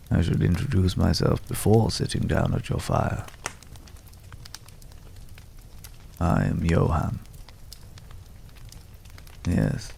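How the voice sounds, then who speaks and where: A man playing a courteous, world-weary former vampire hunter in an intimate ASMR roleplay, speaking in a measured, hushed way with polite, hesitant pauses. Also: deep voice, creaky, slight background noise, heavily acted. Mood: smooth, cautious greeting.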